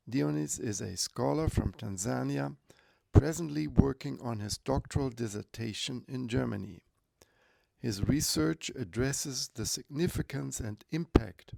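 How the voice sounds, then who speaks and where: A man hosting a podcast interview, asking measured, formal questions. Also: medium pitch, gravelly, darkish tone. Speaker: podcast host.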